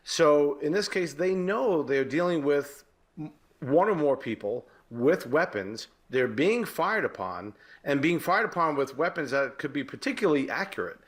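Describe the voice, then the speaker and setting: A man with an American accent, a former police detective giving halting, explanatory commentary in a live news interview. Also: a little high, animated.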